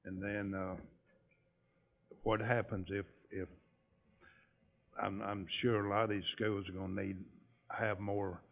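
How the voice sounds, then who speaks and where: A man on a school board asking hesitant, halting questions at a meeting. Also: fairly low pitch, level tone, creaky. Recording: tinny lo-fi meeting audio.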